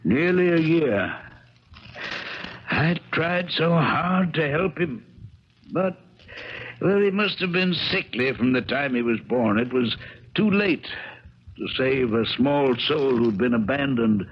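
An actor playing a kindly, wistful old recluse in a 1970s radio drama, speaking in a halting, sentimental manner. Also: male voice, mid-range voice, lively intonation, slightly rough. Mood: sorrowful, earnest. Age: older. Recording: dull, crackly 1970s radio recording.

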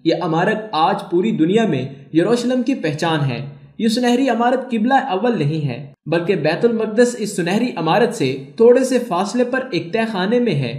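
Man, high voice, formal documentary narrator, measured even read, roomy echo. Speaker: channel narrator. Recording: echoey room.

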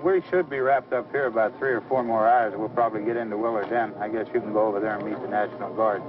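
Actor as commanding police chief, muffled old film. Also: male voice, on the low side, fairly even intonation, quick pace, noisy background, natural voice. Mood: businesslike. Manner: commanding, matter-of-fact. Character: brisk matter-of-fact small-town police chief giving orders. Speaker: police chief leading a posse.

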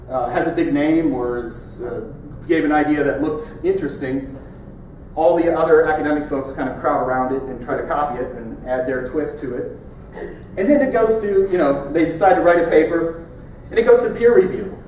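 A middle-aged man speaks to a conference crowd in a big lecture hall, his voice a touch high for a man. He talks in a loose, wry, conversational way, as if chatting with the audience. He is picked up from far off, with the hall ringing heavily behind every word. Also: a little mellow, slight background noise. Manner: conversational, wry, pointing at slides. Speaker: keynote speaker.

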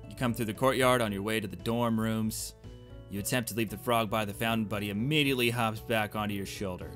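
A man's voice: fairly low pitch, fairly even intonation, brisk, fairly bright tone. A man playing a visual novel, reading it aloud with casual, playful, exclamatory reactions. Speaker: let's player. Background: game music.

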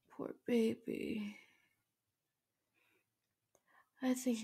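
A woman plays a tender, doting girlfriend who gently takes charge in an ASMR roleplay. Her voice is soft, dark and whispery, with heavy creak, and she babies the listener at a very unhurried pace. It is spoken close to the microphone. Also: fairly high pitch, exaggerated voice. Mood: concerned, soothing. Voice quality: soft, breathy, often whispering. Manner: slow, gentle, babying. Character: tender, doting dominant girlfriend comforting her sick partner.

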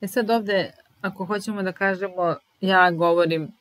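A woman in her thirties with a Serbian accent, teaching German slowly, repeating each phrase while typing, in a small room at home. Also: medium pitch, steady tone, no room sound. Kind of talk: slow, deliberate language lesson.

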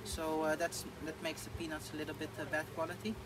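A young man with a Dutch accent talks through his plans in a halting, filler-laden way. He sits in an outdoor thatched shelter, picked up by a camera a few meters off. Steady outdoor noise runs underneath. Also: fairly high pitch. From Netherlands. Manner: halting, many fillers and restarts. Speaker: guest. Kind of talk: casual interview.

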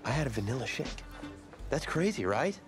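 A man playing a stressed, proud high school football star in a video game cutscene, casual and sardonic with an American accent. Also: big pitch swings, brisk, lightly acted. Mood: wry. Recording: background music under the voice.

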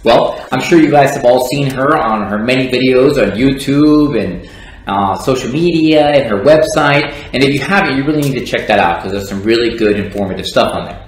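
A man's voice: mid-range voice, a little fast, a little hiss or hum. Wry man interviewer in an echoey room. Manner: wry, teasing asides. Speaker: litigation manager, interviewer. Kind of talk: scripted questions, joking chat. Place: office lounge with large windows. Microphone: tabletop condenser mic.

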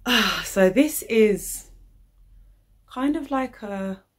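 A woman's voice: a little high, expressive, extremely slow. A young adult woman with a British accent, chatting casually and informally as she hosts her vlog. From United Kingdom. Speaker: YouTube channel host. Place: living room, indoors.

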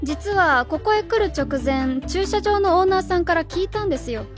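Woman acting a high-voiced stern young schoolteacher. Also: lively intonation, moderate pace, music in the background. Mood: pressing, suspicious. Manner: formal, polite, stiff, probing. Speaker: voice actor. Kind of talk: radio drama acting.

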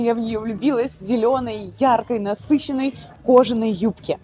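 A woman's voice: on the high side, mellow. A woman stylist explaining an outfit and giving practical fashion advice to viewers. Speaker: stylist presenting her outfit.

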